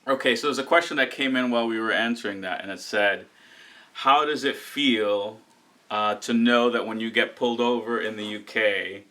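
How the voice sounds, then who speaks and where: A man's voice: lowish voice, moderate pace, bright, forceful tone. A young American man co-hosting a casual live stream and teasing his partner. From United States. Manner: teasing, joking. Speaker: co-host.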